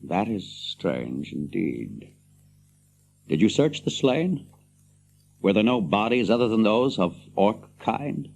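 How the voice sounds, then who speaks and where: An actor playing a proud, commanding ranger and heir to a throne in a fantasy radio drama, with grave, declamatory delivery. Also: male voice, fairly low pitch, big pitch swings, slow pace, vocal fry, darkish tone, slight background noise, exaggerated voice. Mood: grave, urgent.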